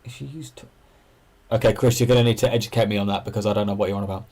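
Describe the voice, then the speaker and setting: A man's voice: lowish voice, steady tone, rapid-fire, a little mellow. A man hosting an amateur radio livestream, chatting casually and thinking aloud as he explains things and answers viewers.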